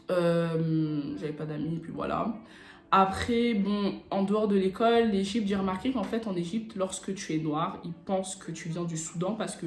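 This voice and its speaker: A young woman from France telling personal stories in a casual, animated, slangy chat as a video blogger. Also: fairly low pitch. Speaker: YouTube vlogger. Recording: roomy echo, music bed behind. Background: background beat music.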